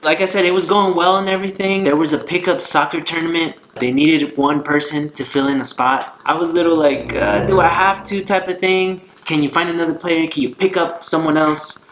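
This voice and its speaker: A man's voice: a little high, fairly even intonation, brisk, few pauses, fairly bright tone, slight background noise. A man co-hosting a vlog, casually telling a personal story. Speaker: vlog co-host. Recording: echoey room with faint music.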